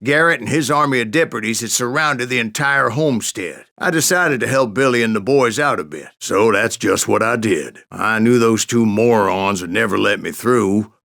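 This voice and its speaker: Gravelly old Western gunslinger. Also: male voice, lowish voice, normal speed, few pauses, fairly bright tone. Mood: wry, gruff. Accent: American Western drawl. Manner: folksy, sardonic, salty. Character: grizzled old male gunslinger spinning tall tales, wry and boastful. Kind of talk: dramatic first-person storytelling.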